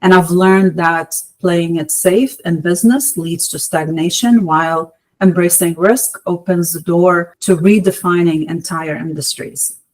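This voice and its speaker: A woman from Lebanon giving measured, articulate, motivational answers as an interview guest, with emphatic phrasing. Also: on the low side, poor audio. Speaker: podcast guest, entrepreneur.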